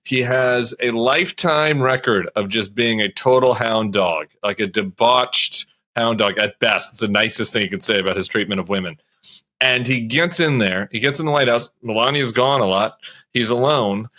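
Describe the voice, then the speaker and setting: A man's voice: mid-range voice, moderate pace, sharp tone. A man co-hosting a casual panel chat, giving his opinions in an emphatic, argumentative way. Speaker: podcast co-host.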